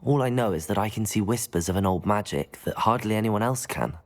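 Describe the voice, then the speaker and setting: Low-voiced, earnest young wizard. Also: male voice, animated, fairly quick. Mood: guarded, earnest. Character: polite, guarded teenage wizard student, earnest newcomer. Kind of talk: dramatic video game dialogue. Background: music.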